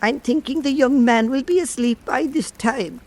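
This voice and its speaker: A man's voice: extremely high pitch, normal speed, darkish tone, pitched above the performer's own voice. A man voicing a sly Irish island wife with lilting country speech in a folk tale radio play. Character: island wife, a sly newly widowed countrywoman hosting a stranger.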